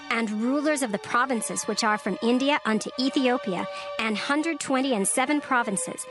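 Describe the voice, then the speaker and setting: A woman narrates scripture in a measured, formal reading with a slightly high voice. Music plays clearly behind her throughout.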